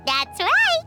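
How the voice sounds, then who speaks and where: A woman voicing a tiny, bubbly, naive fairy companion with a squeaky childlike voice in a video game. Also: full character voice. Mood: pleased. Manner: refers to self in third person. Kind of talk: animated video game voice acting. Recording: loud music behind the voice. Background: game music.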